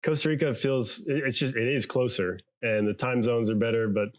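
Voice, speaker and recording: A man from San Diego, a podcast guest talking in a relaxed, chatty way and laughing easily. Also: medium pitch, a little fast. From United States, San Diego. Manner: laid-back, chatty, laughs often. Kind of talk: casual podcast chat.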